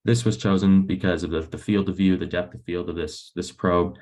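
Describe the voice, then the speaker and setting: A young man, a graduate researcher, giving a technical webinar presentation over a video call in an explanatory, somewhat hesitant way, with a low creaky voice. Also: fairly even intonation, brisk, a little mellow. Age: young adult.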